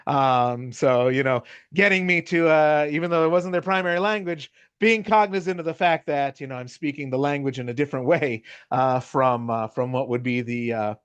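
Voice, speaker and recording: A man's voice: medium pitch, moderate pace, hardly any pauses, clean tone, no echo. A middle-aged American man with a Californian accent giving a casual, chatty lecture, often checking in with the listener. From United States. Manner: casual, chatty, frequent "you know" and "right?" tag questions. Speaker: university lecturer. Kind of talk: conversational lecture.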